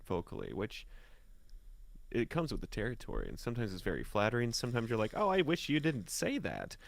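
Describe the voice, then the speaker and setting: A man with an American accent and a fairly low, gravelly voice, chatting casually. His pitch swings freely as he rambles and jokes through stories, and the sound is dry and close. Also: dry recording. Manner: rambling, joking, animated. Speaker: musician and guest on the show. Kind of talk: casual chat, storytelling.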